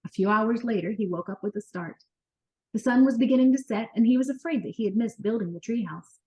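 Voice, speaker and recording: A woman narrating a children's book as an expressive, animated read-aloud storyteller. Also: on the high side, fairly quick, poor audio.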